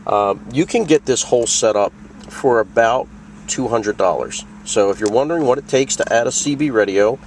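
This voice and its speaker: A man's voice: mid-range voice, normal speed, a little hiss or hum. A man presenting a product tutorial in a casual, plain-spoken, conversational way, walking through things step by step. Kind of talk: casual instructional walkthrough.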